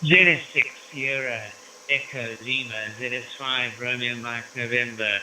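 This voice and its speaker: A man from South Africa working as a ham radio operator, slowly spelling out call signs in phonetic code. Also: medium pitch, steady tone, pushed and bright, echoey room, far from the mic, slight background noise, poor audio. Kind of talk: amateur radio contacts.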